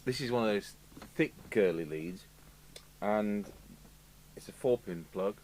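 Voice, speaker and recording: Low-voiced English man musing at his workbench. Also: unhurried, darkish tone. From United Kingdom. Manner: rambling, musing aloud to himself. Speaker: radio repair technician and video host. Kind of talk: casual workbench commentary. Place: indoor electronics repair workbench. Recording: clear background noise with electrical hum.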